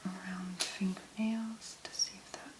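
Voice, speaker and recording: A woman speaks in a breathy, hushed near-whisper, as an ASMR host would. Her delivery is slow and very level, laid out in short murmured phrases. The sound is roomy, with a noticeable echo around her voice. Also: medium pitch, little pitch movement. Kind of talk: soft-spoken ASMR make-up tutorial.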